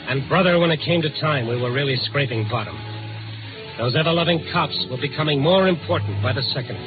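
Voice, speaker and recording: Actor playing a hard-boiled reporter, old tinny radio. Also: male voice, a little high, fairly quick, natural voice. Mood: tense, weary. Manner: clipped, urgent. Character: brisk, world-weary male newspaper reporter narrating his night beat. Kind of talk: 1950s radio drama acting, hard-boiled narration. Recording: lo-fi 1950s radio broadcast, loud music.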